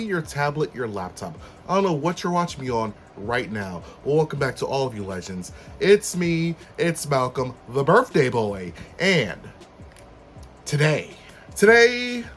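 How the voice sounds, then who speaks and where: A man hosting a casual rant vlog, slightly hoarse from being under the weather, chatty and animated with sudden high, upbeat outbursts. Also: a little hiss or hum. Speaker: YouTube host.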